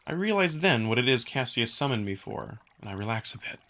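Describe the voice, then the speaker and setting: A man's voice: medium pitch, very animated, brisk, a bit bright. A man reading scripted first-person narration for a visual novel as the narrator.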